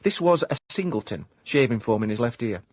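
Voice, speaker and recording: Husky, lilting Yorkshire private eye played by an actor. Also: male voice, medium pitch, a little fast, no room sound, clean studio sound, close to an everyday voice. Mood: wry, deadpan. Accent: South Yorkshire. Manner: deadpan, mock hard-boiled narration, clipped phrases. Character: wry former-miner private eye, hard-boiled pretensions, hapless. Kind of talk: comic radio drama acting.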